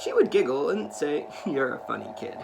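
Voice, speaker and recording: Earnest guy talking to kids like storytime, bit of gravel in his voice, noisy recording. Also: medium pitch, very animated, normal speed. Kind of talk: read-aloud of a children's picture book with spoken introduction.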